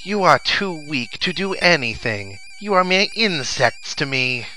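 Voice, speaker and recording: A man's voice: on the high side, very animated, measured pace, pushed and bright, pitched above the performer's own voice, full character voice, rough recording. Video game villain read by a man: menacing alien overlord, scornful and commanding, over prominent music. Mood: scornful menace. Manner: declamatory, commanding. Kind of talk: reading video game dialogue in character. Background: video game music and sound effects.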